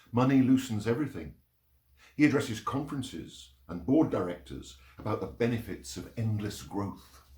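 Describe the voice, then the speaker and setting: A man reading poetry and short stories aloud in a measured, expressive storytelling delivery. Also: mid-range voice. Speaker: reader of poems and short stories. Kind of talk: literary reading aloud, performed narration. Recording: roomy, echoing sound.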